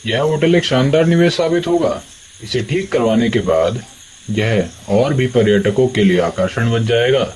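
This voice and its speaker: A man playing a confident hotel businessman and father who brushes off fears, in an animated horror story. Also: medium pitch, moderate pace, slight background noise, natural voice, rough recording.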